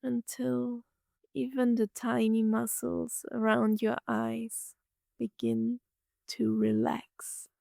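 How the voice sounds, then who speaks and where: A woman narrating a scripted guided meditation, gently soothing, speaking in short phrases with long pauses. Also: on the high side, monotone, gravelly, dry recording, studio quality.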